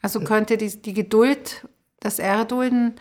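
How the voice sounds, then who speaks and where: A woman's voice: fairly high pitch, steady tone, unhurried. Reflective woman hosting a conversation. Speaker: podcast host. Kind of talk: conversational interview, thinking aloud.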